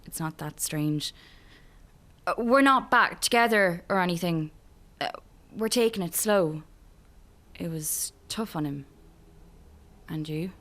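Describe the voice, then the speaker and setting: Fragile young Irish woman on the mend, radio drama. Lower register, halting, understated. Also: lively intonation, a bit bright. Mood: tentative, casual. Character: fragile, hopeful young woman recovering from a crisis. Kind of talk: naturalistic radio drama acting.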